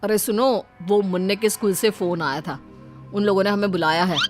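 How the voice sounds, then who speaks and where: A woman's voice: mid-range voice, normal speed, soft background music, natural voice. A woman voicing a suspicious, demanding husband and father in a domestic story. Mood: concerned.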